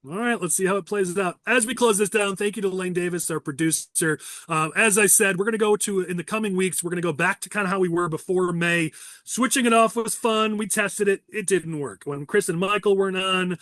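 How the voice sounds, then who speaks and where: Fast-talking nasal man hosting a podcast. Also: high-pitched, fairly bright tone, no room sound, studio quality. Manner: teasing, fast and rambling. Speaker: podcast host. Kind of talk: casual political podcast chat.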